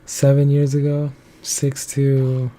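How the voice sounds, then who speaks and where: A man's voice: mid-range voice, completely flat delivery, slow pace, dark tone. A young man talking casually on his own, loosely and in a rambling way, with many filler words. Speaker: commentary channel host. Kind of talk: casual solo commentary.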